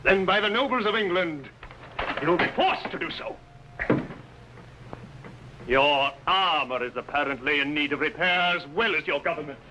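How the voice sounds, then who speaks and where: An actor playing a bold, righteous medieval archbishop, speaking in a formal, firm, declamatory manner in an old television drama. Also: male voice, on the high side, expressive, moderate pace, pauses often, natural voice. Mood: defiant. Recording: noisy 1950s television soundtrack.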